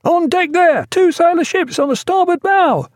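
A man's voice: very high voice, normal speed, no room sound, studio quality, pitched above the performer's own voice. A man voicing a ship's lookout who screams a hail from the masthead. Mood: alarmed.

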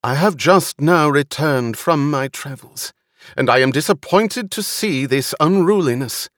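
Stern noblewoman ruler, played by a male narrator: authoritative, formal, resolute, deliberate, in a dry audiobook recording. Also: low-pitched, lively intonation, a bit bright, dry recording, exaggerated voice. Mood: stern, commanding.

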